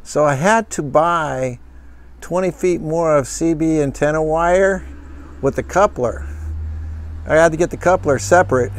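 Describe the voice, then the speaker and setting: A man casually walking through how he fitted gear into his pickup and camper, talking plainly with lots of fillers. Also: a little high. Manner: plain, unhurried, homespun phrasing with fillers. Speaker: vlogger showing his truck camper. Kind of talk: casual show-and-tell walkthrough. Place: in and around a pickup truck.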